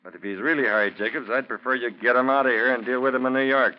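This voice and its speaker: A no-nonsense small-town western lawman, voiced by a male actor, wary and practical. The folksy, medium-pitched voice sits in a dull old radio drama recording with faint noise. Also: steady tone, quick pace, close to an everyday voice. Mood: brisk, urgent. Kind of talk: 1940s radio drama acting.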